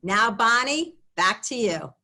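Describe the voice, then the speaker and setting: Woman reading prepared remarks, measured. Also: on the high side, very animated, pushed and bright. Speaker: presenter at an accounting webinar.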